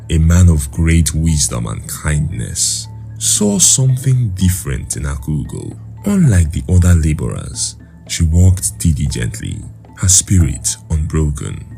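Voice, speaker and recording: Very deep, slow dramatic male storyteller. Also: creaky, mellow. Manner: measured, dramatic. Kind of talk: scripted dramatic folktale narration.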